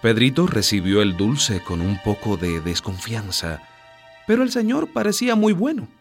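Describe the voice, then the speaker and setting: An expressive storyteller narrating a scripted radio drama. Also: mid-range voice, normal speed. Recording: music behind the voice.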